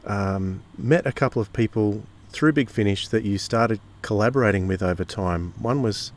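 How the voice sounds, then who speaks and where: A man's voice: fairly low pitch, normal speed. A man hosting a podcast interview, asking questions in a casual, tentative way.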